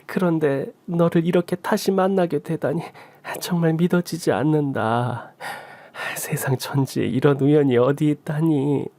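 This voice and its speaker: A man voicing a calm, remorseful middle-aged birth mother who speaks softly and apologetically in a story drama. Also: a little high, measured pace, no room sound. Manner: soft, apologetic. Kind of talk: story radio drama reading.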